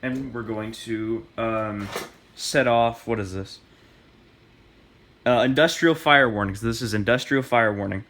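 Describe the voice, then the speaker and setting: Halting man with a bright, forward voice. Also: mid-range voice. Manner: halting, self-correcting delivery. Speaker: host of a hobbyist demonstration video. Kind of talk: casual unscripted how-to demonstration.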